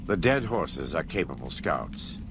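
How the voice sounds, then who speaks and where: A man playing a solemn, devout war leader in a video game, gravelly, measured and gravely courteous. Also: extremely low pitch, sing-song, music in the background, exaggerated voice. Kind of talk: video game character dialogue.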